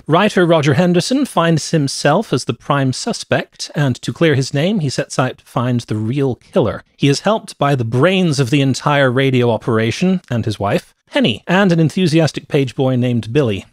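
A man's voice: fairly high pitch, no echo. A middle-aged man co-hosting a conversational movie review podcast, speaking into a close microphone in a small home room. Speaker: podcast co-host. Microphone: close podcast microphone with headset.

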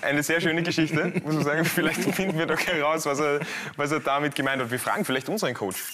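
A man's voice: on the high side, fairly even intonation, fast talker, background noise. A man in his thirties hosting a studio football talk show, leading the chat with jokes. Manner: jokey, leads the discussion. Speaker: host.

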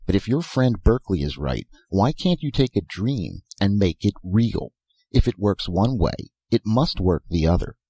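Man playing a gruff, low-voiced skeptic. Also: very animated, normal speed, mellow, no room sound, exaggerated voice. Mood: skeptical. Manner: blunt, grunting retorts. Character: skeptical, tipsy, gruff man, practical-minded.

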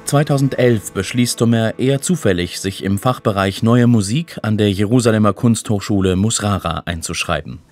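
A man with a fairly low voice reads scripted documentary narration. He speaks as a radio narrator, with music clearly playing behind him. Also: moderate pace, few pauses. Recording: music under the voice.

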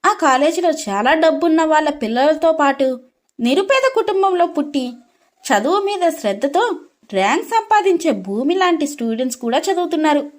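A woman's voice: high voice, very animated, normal speed. A woman narrating a scripted dramatic story as its storyteller.

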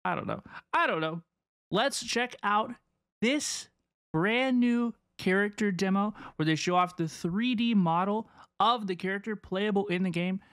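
A woman's voice: mid-range voice, measured pace, fairly bright tone, clean tone, dry recording. A young woman giving casual, slangy reaction commentary with enthusiasm, repeating words for emphasis into a close microphone. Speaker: reaction video host. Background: video game trailer music.

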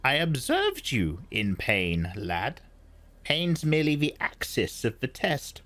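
A man's voice: mid-range voice, huge pitch swings, slow pace, fairly bright tone, voice pushed up, exaggerated voice. A man reading aloud, voicing a stern, commanding old woman elder with a clipped, probing manner.

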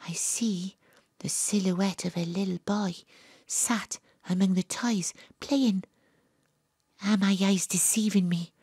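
A woman's voice: mid-range voice, fairly even intonation, slow, darkish tone, breathy edge, dry recording. Dramatic young Welsh woman investigator, dry sound. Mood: hushed, tense. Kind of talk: audio drama narration and dialogue.

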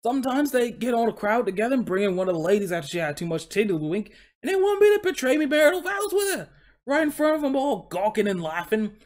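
A man's voice: extremely high pitch, very animated, fast, voice pushed up. A man voicing a proud, chatty, aggrieved talking London coach horse in a put-on Cockney accent, with deferential but boastful patter. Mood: indignant. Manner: colloquial, deferential, boastful working-class patter.

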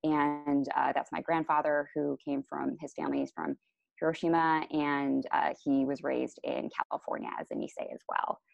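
A young woman with a low voice and heavy vocal fry talks through her slides in a loose, relaxed way, with plenty of ums and restarts. The sound is dry and a bit dull, like a video call from a small room. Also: normal speed, dry recording. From Chicago area, USA. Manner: relaxed, many ums and self-corrections. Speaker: panelist. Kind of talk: casual presentation over slides.

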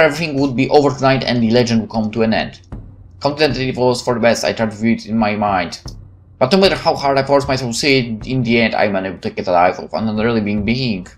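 Man reading a visual novel aloud. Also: medium pitch. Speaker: gameplay streamer.

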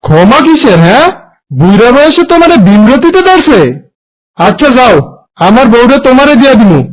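Man playing a very high-pitched scheming husband. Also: big pitch swings, normal speed, low-quality recording. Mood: indignant. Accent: Bangladeshi Bengali. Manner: confrontational, commanding. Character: scheming young village husband, stern and bossy. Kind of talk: cartoon moral story voice acting.

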